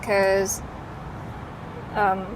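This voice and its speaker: A young Korean-accented woman speaks slowly and carefully, pausing often and searching for words between fillers. Her voice sits at an ordinary middle pitch. Traffic and street noise run clearly behind her in an outdoor recording. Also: monotone. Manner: hesitant, many fillers and restarts, laughs lightly. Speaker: guest speaker answering class questions. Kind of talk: casual explanatory answers.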